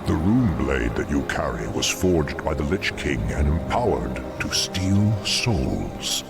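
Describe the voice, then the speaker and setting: An actor playing a sinister, commanding demon dreadlord in a fantasy game, slow and formal in his menace. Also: big pitch swings, exaggerated voice. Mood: menacing, smug. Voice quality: very deep, gravelly. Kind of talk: fantasy video game acting. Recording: music under the voice.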